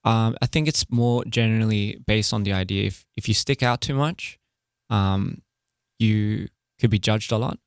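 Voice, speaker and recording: A young man from Australia speaking in a laid-back, halting way, often pausing to search for words, as a musician guest in a casual radio interview. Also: lowish voice, steady tone, smooth, no room sound, clean studio sound. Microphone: studio microphone.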